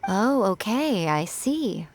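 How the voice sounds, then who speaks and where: Sly, teasing shrine familiar. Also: female voice, medium pitch, big pitch swings, slight background noise. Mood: wry, amused. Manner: coy, condescending, deliberate, theatrical. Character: sly, teasing, elegant female shrine familiar, worldly-wise. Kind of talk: video game dialogue acting.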